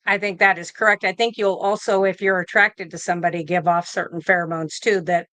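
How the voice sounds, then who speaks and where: A woman's voice: lowish voice, fairly even intonation, brisk, fairly bright tone, no echo. A woman in her fifties, a nurse answering questions in a casual video-call interview, rambling with fillers and restarts. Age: 50s. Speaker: guest nurse.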